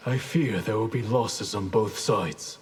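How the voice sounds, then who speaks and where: A man's voice: lowish voice, unhurried, a little mellow, natural voice. Man as a stern ninja mentor in a reverberant space. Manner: grave, admonishing. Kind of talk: video game fight banter. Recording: reverberant game audio.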